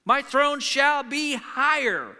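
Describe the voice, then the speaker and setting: A man's voice: very high voice, lively intonation, takes it slowly, sharp tone, heavily acted. A man voicing a pompous, boastful turtle king who bellows out commands in a storybook reading, in a hall with long reverb. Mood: imperious, shouting.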